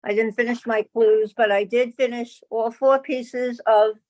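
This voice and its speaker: A woman's voice: a little high, steady tone, a little slow, darkish tone. A woman chatting cheerfully on a video call. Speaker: sew-along participant. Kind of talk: casual self-introduction. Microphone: video call mic.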